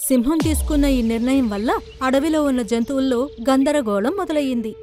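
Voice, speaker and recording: A woman's voice: high voice, a little mellow. A woman reading scripted narration for a children's story.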